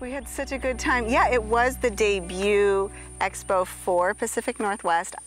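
A woman's voice: mid-range voice, fairly even intonation, measured pace. A woman chatting casually outdoors on a canyon overlook, upbeat and affirming. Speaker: travel couple guest.

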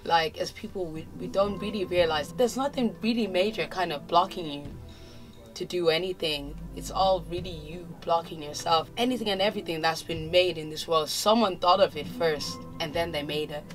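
A young woman from Zimbabwe, a musician, giving relaxed, thoughtful interview answers in a casual way, recorded indoors in a studio. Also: fairly low pitch. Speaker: musician guest. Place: indoor studio with clothing racks.

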